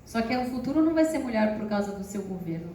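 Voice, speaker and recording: A woman with a Brazilian Portuguese accent interpreting live on stage, briskly relaying each phrase. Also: mid-range voice, expressive, mellow. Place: large hall. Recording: echoey hall from far off, faint noise.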